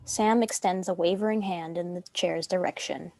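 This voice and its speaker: A woman's voice: fairly low pitch, fairly even intonation, moderate pace, a little mellow, no echo. A woman reading stage directions as scripted narration for a dramatic script reading.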